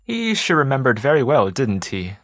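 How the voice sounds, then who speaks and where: A man's voice: medium pitch, wildly swooping pitch, normal speed, a bit bright, dry recording. Teasing young man, dry close sound, acted. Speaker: actor in a student play. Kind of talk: conversational stage dialogue.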